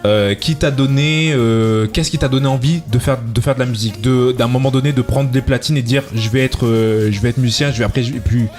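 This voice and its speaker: A man's voice: medium pitch, steady tone, a little fast, hardly any pauses, darkish tone, soft background music. Rambling male show host. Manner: rambling questions with fillers. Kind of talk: casual interview.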